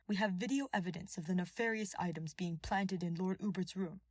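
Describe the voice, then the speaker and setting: A woman acts out a loyal royal retainer addressing his king in an audiobook. He is firm, formal and unflinching, in a husky voice recorded close and dry. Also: fairly low pitch, brisk, no room sound, natural voice. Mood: firm, challenging. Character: loyal male royal vassal, usually quiet, firm and formal.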